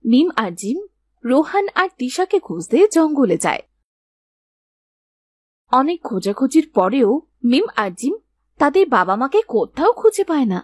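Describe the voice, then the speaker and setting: A woman's voice: sits high, sing-song, moderate pace, pauses often, no room sound. A woman narrating a scripted children's story.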